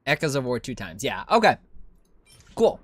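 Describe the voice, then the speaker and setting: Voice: mid-range voice, sing-song, normal speed, dry recording. A streamer giving casual gameplay commentary, thinking aloud and often questioning themselves. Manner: self-questioning, musing aloud. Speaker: game streamer.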